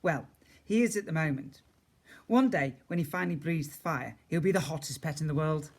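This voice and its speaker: A man's voice: a little high, normal speed, darkish tone. A man reading a children's story aloud as a teacher would, expressively, with chatty asides to his young listeners.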